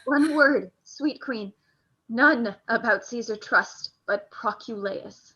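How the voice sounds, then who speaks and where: Woman playing a high-voiced dying noble warrior. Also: slow pace, pushed and bright, muffled recording. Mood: dying, tender. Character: Antony, dying noble male warrior lord. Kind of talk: Shakespearean radio play acting.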